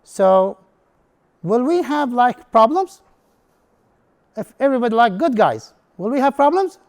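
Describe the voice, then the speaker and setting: A man with a high-pitched voice gives a presentation in English, which is not his first language; his first language is Arabic. He talks as an instructor to an audience in a room and keeps putting questions to them. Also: very animated, measured pace. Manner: non-native English, asks the audience questions. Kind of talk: prepared talk to an audience.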